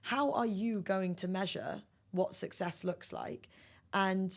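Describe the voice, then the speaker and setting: A woman's voice: medium pitch, slow pace. A woman speaking as a sales executive and podcast guest, explaining business practice emphatically in a conversational interview.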